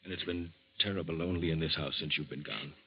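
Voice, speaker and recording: An actor playing a gentle, worn-out older uncle who speaks softly and haltingly in an old radio drama. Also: male voice, very deep voice, fairly even intonation, slightly rough. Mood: tender. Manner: soft-spoken, halting. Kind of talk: 1940s radio acting. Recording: dull old radio recording.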